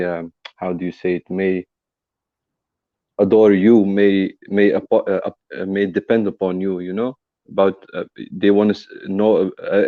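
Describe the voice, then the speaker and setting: A man's voice: sits low, no room sound, narrow, tinny audio. A man from Belgium, calling in with a question and hesitating often as he searches for words. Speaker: caller.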